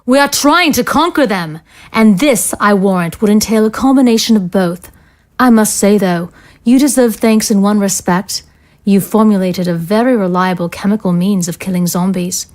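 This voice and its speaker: A woman's voice: medium pitch, darkish tone, close to an everyday voice. A woman acting in an audio drama as a cold, ruthless scientist in authority, speaking in formal, sardonic phrases. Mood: triumphant. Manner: formal, sardonic, measured wording.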